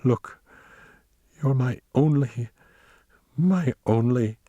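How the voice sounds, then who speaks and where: A male narrator plays a lonely, domineering man talking down to his pet in a storybook. The voice jumps up in pitch and turns theatrical, condescending and commanding, in a dry, close sound. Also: drawn-out words, dark tone, no room sound, highs cut off. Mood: pitying, melancholy. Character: lonely domineering man, patronizing, menacing, maudlin with his dog.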